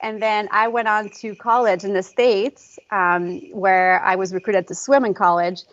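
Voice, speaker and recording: A woman from Quebec City with a Quebec French accent, a fitness coach guest talking casually and warmly with many ums; French is her first language. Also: medium pitch, fairly even intonation, a little slow, highs cut off. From Quebec City, Canada. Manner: friendly, with frequent ums. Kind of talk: casual interview.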